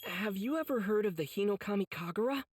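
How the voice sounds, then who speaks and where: A woman's voice: medium pitch, expressive, fast talker, a little mellow, breathy edge, dry recording, studio quality. A woman playing an earnest, kind-hearted teenage boy demon slayer in an anime game, polite and eager. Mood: eager, curious.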